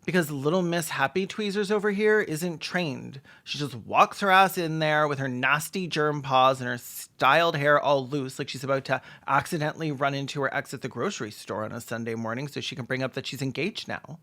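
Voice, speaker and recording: A man's voice: medium pitch, brisk, hardly any pauses, sharp tone. A man doing sarcastic, snarky comedic movie commentary as a video host, with dramatic emphasis. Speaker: film reaction video host.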